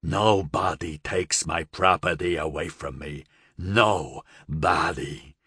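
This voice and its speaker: A man's voice: sits low, takes it slowly, sharp tone, husky, no room sound, clean studio sound, pitched below the performer's own voice, full character voice. A man voicing a volatile, foul-mouthed gangster boss in an audiobook, with a blustering, menacing delivery. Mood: raging.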